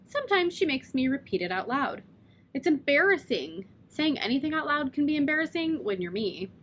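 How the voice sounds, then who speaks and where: Woman reading a children's novel aloud; gently wry first-person delivery, fairly high voice, faint noise. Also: very animated, fairly quick. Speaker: reader.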